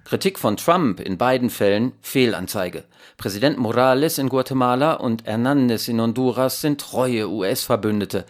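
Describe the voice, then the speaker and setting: A man working as a radio reporter, narrating a scripted report in a measured, emphatic way. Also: mid-range voice. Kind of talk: scripted news report narration with translated voice-overs.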